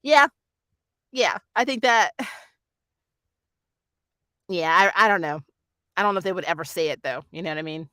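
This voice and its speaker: A host chatting casually through a fan review, animated and blunt, with plenty of slang. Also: medium pitch, measured pace, pauses often, clear, resonant tone, no echo. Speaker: review host reading viewer comments.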